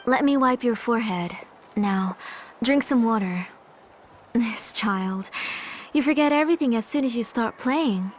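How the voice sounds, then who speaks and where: A woman voicing a caring, gently fussing mother in a video game, attentive in manner. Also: a little high, measured pace, slight background noise, lightly acted. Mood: fondly fussing.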